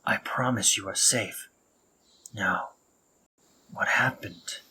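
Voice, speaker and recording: A young man playing a remorseful, protective male spider monster in an audio roleplay, talking haltingly with stammers and soft whispers. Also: on the low side, slight background noise, full character voice, rough recording. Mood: soothing.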